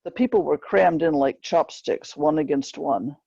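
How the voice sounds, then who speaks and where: A woman reads a story aloud in a mellow, husky voice with a gravelly creak. She tells it plainly, in short measured phrases. The recording is dull and roomy. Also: deep voice. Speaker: author reading from a book. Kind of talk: scripted narration, book reading.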